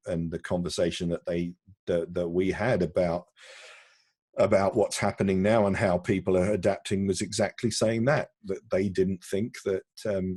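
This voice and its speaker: A man answering questions in a conversational video-call interview, halting and often repeating words as he explains. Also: low-pitched, warm tone, slightly rough, dry recording. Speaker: interviewee, broadcast technology executive.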